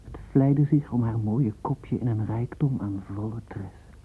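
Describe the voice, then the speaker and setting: A man narrating a radio play as an admiring storyteller, with a breathy, husky voice and lingering, florid delivery. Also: medium pitch, measured pace. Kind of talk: scripted literary narration in radio drama. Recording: thin, phone-like radio play recording.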